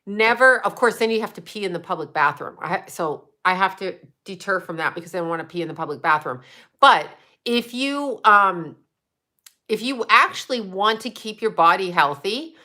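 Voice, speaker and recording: A woman's voice: mid-range voice, lively intonation, moderate pace, pushed and bright. A woman in her fifties, hosting a casual livestream, chatting bluntly and profanely while answering viewer comments. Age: 50s. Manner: blunt and profane, trash-talking trolls between chatty tips. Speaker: livestream host.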